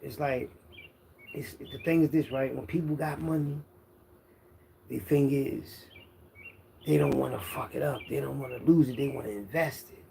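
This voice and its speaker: A man's voice: mid-range voice, fairly even intonation, normal speed, creaky, long pauses, mellow, rough recording. A man talks casually and self-assuredly in rambling, slang-heavy street monologue, boasting as he goes. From Philadelphia, United States. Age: 50s. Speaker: internet content creator. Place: outdoors, birds chirping behind. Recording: outdoor background noise with birdsong.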